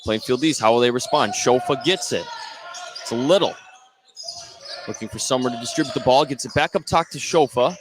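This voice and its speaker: An American man works as a play-by-play announcer on live basketball radio, with a mid-pitched voice. He follows the action in short running phrases, over faint gym noise and ball bounces. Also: a little fast. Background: basketball game in a gym.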